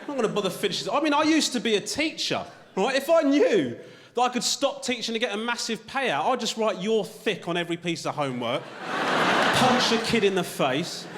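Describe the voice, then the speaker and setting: A man's voice: high voice, expressive, brisk, big-room sound, heavy background noise. A male stand-up comedian doing a conversational routine on a TV studio stage in front of a live audience, often tagging his lines with "right?".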